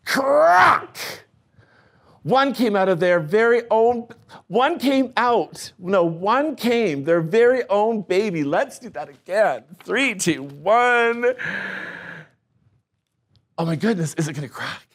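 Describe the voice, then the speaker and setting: A man reading a picture book aloud to children in an animated, expressive storytime manner with playful asides. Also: high voice. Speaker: storytime reader.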